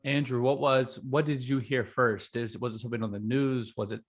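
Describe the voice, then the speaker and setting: A man asks questions in a relaxed, casual way as the interviewer. He reacts to his guests with short remarks. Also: mid-range voice, a little fast. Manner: laid-back, brief reactions.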